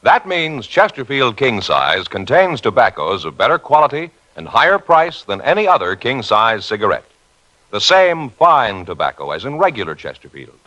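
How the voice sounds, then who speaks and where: A man announcing a 1950s radio crime show and its sponsor in a grave, measured, formal manner. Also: mid-range voice, sing-song, pushed and bright, rough recording.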